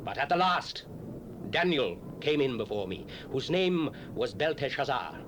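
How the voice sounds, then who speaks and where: A man's voice: medium pitch, sing-song, unhurried. An actor playing a proud, commanding Babylonian king in a dramatized scripture, with stately, emphatic delivery. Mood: stately proclamation. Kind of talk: dramatized scripture, declamatory acting. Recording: noisy 1970s cassette dramatization.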